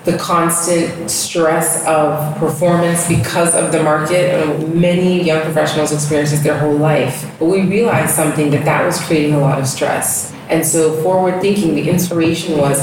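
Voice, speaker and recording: Guest: woman from Ghana raised in the US, very low voice, reflective, distant echoey home recording with noise. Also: fairly even intonation, moderate pace, few pauses, a little mellow. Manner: reflective, rambling with fillers. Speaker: guest, yoga studio founder. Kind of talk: casual interview talk. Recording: echoey room, distant mic, background noise.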